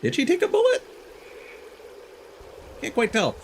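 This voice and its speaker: A man's voice: extremely high pitch, a little slow, a little hiss or hum. A man doing casual, sweary gameplay commentary as a streamer, with theatrical delivery. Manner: animated, sweary, theatrical outbursts. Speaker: game streamer. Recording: game music behind voice.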